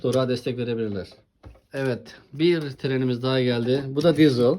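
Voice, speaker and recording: A woman's voice: a bass voice, fast talker, dark tone, a little hiss or hum. A woman hosting a casual toy showcase with a child, chatting in an encouraging way and often asking questions. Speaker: host presenting toy trains with a child.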